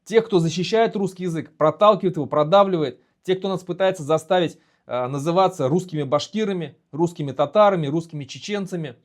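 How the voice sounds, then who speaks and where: A man with a fairly high voice gives a solo commentary to camera. He is a native Bashkir speaker from Bashkortostan. He sounds earnest and indignant, pausing and restarting often. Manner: earnest, indignant, halting with fillers. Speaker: video host and activist.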